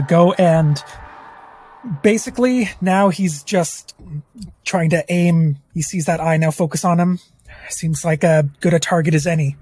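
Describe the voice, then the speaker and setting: High-voiced man describing punches. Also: no room sound. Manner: excited, energetic action descriptions. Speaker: player. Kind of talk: playing a monk at a tabletop game.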